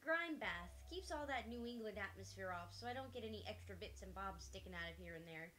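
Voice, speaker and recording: A woman's voice: mid-range voice, lively intonation, a little fast, bright, forceful tone, a little hiss or hum. A woman voicing a small, grumpy witch in her twenties for a visual novel, dry and sarcastic. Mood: wry, casual. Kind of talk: visual novel character read-aloud.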